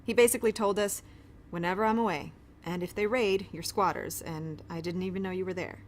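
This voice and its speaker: Chatty, flustered, good-hearted doctor, played by an actress. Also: female voice, on the low side, fairly even intonation, fairly quick, a little hiss or hum, natural voice. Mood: explaining eagerly. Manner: chatty, awkward, self-interrupting. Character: friendly, flustered, apologetic woman pediatrician who shelters fugitives. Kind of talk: naturalistic audio drama dialogue.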